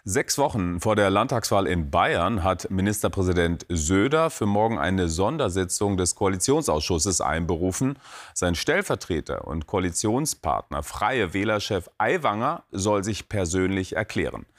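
A man anchors a television newscast, reading each item in a measured, formal tone. His voice sits somewhat low. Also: expressive. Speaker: news anchor. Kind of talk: read news presentation.

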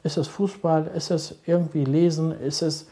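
Middle-aged guy talking slow n calm, mellow dark tone, lots of ums. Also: fairly high pitch. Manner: calm, measured, searching for words with many fillers. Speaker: social worker advising fathers. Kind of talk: calm interview answers.

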